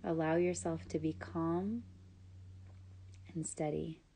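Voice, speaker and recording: Slow, mellow woman guiding meditation. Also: deep voice, gravelly, slight background noise. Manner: calm, soothing, measured instruction with long pauses. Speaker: yoga nidra guide. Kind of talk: scripted guided meditation.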